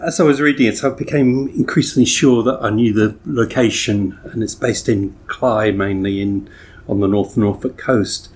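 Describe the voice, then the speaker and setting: A man's voice: lowish voice, moderate pace, darkish tone. A man co-hosting a podcast, asking conversational questions that he rephrases as he goes. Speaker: podcast co-host. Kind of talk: conversational interviewing.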